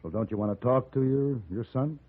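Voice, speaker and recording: An actor playing a composed, educated gunfighter with an American accent, measured and dry, acting and narrating in a 1950s radio western. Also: male voice, medium pitch, natural voice. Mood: cool, measured. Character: composed, educated gunfighter for hire, coolly authoritative. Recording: phone-like 1950s radio recording with music.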